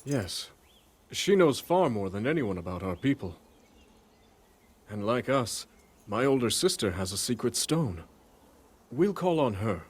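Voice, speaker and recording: A man voicing a calm, dignified ancient king in a video game, speaking in a measured, formal way. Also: mid-range voice, husky. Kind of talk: video game cutscene voice acting. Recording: faint music behind.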